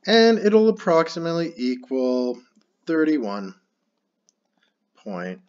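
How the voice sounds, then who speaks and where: A man's voice: a little high, sing-song, slow pace, a little mellow, clean tone, lo-fi sound. A man teaching a calculus problem step by step while writing it out on screen. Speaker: math teacher. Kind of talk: screen-recorded math lesson.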